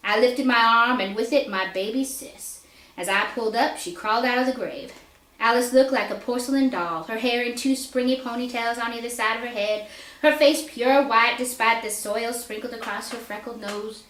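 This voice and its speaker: A woman reading a story aloud at a live indoor reading, narrating expressively and deliberately. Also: on the high side, fairly bright tone. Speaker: author reading own fiction aloud. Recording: echoey room live reading.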